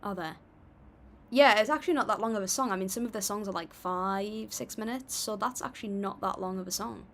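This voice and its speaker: A woman's voice: mid-range voice, brisk, slight background noise. A young woman hosting a casual livestream, chatting and reading viewer comments aloud in an upbeat, apologetic way. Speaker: livestream music reactor.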